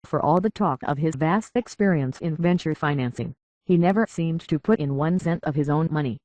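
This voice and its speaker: A woman's voice: low voice, normal speed, a little mellow, dry recording. A woman voicing a haughty, petulant rich heiress in a robot body for a video game, with pompous, scornful, theatrical diction through a metallic filter. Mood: haughty, dismissive.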